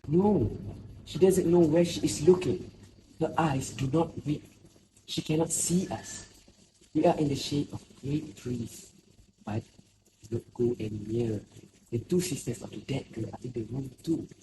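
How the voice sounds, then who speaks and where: A man's voice: fairly high pitch, measured pace, natural voice. Man acting a cautious old villager, noisy surroundings. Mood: watchful, uneasy. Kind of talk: amateur stage drama dialogue. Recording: noisy ambient background. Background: clear ambient noise.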